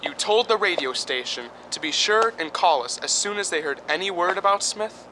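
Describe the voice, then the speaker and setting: An actor playing a nervous, fretful property owner in a radio drama, excitable and urgent. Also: male voice, sits high, normal speed, background noise. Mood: anxious, fretful. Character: jittery, fretful male property owner, easily agitated.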